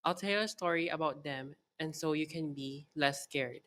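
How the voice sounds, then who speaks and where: A woman's voice: low-pitched, moderate pace, a bit bright, voice pushed down. A woman voicing a teasing old Filipino grandmother who tells stories soothingly, in a read-aloud children's story. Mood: amused, soothing.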